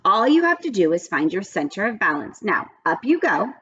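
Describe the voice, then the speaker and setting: Woman playing a firm, lively schoolteacher. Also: medium pitch, moderate pace, slightly rough, lo-fi sound. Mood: insistent. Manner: insistent, no-nonsense. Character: firm, brisk, encouraging female schoolteacher.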